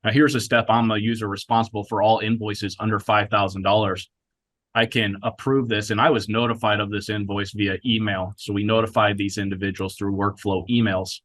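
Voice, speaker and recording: A man with a fairly low voice presents software in a conversational, businesslike manner. The sound is dry and close, as he talks through a live product demo. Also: flat delivery, fairly quick, no echo.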